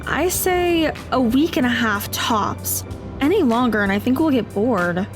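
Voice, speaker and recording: Casting: actress as level-headed, reserved teen girl, unhurried, slightly high, music underneath, audio drama. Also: animated. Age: teens.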